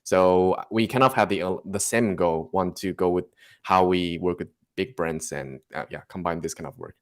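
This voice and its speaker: Low-voiced Taiwanese man, halting speech. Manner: halting, searching for words with repeated restarts. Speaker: interviewed generative artist. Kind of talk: casual podcast interview.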